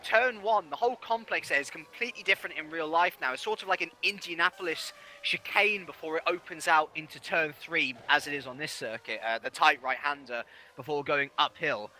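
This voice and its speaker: A man's voice: fairly high pitch, brisk, pushed and bright, a little hiss or hum. A man commentating live on a sim racing qualifying session, fluent and analytical as he runs down the standings.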